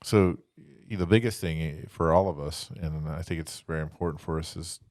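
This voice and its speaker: A man's voice: extremely low pitch, fairly even intonation, normal speed, a little mellow, no room sound, studio quality. A man hosting a podcast in a conversational devotional style, earnestly exhorting listeners and repeating phrases as he goes. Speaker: podcast host, church ministry speaker.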